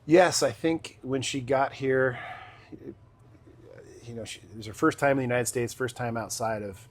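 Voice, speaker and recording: A middle-aged man, a city council member, answering interview questions in a measured, explanatory way with pauses. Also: mid-range voice, a little hiss or hum.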